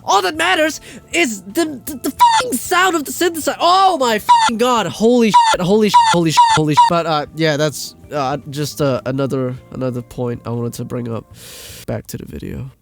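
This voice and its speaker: A man's voice: sits high, huge pitch swings, moderate pace, gravelly, a bit bright, faint music behind. A man delivering a casual, exasperated rant as a video creator, blunt and emphatic, with swearing. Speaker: video creator ranting about music production.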